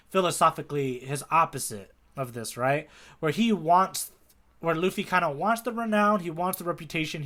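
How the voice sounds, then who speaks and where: A man's voice: on the high side, fairly bright tone. A man co-hosting a podcast in casual conversation, inquisitive, often checking in and prompting with questions. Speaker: podcast co-host. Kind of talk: casual chat, manga readalong discussion.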